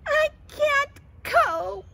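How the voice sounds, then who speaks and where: A woman's voice: a little high, wildly swooping pitch, moderate pace, background noise. A woman voicing a scornful, conceited female caterpillar in a storybook, sneering and complaining. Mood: cranky, whining. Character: scornful, conceited young caterpillar who whines and mocks.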